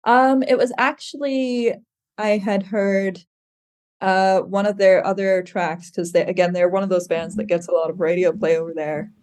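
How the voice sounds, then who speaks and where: A woman's voice: mid-range voice, steady tone, moderate pace, darkish tone. A woman musician guesting on a podcast, chatting casually with plenty of "like" and easy laughs. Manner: chatty, lots of fillers like "like", laughs.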